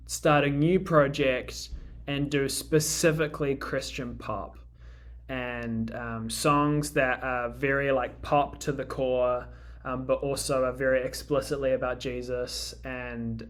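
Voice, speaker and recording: A young New Zealand man with a Kiwi accent talks casually as a guest on a radio interview. His pitch sits in an ordinary middle range, and he hesitates a lot, with frequent ums and restarts as he thinks aloud. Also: fairly bright tone. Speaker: musician guest.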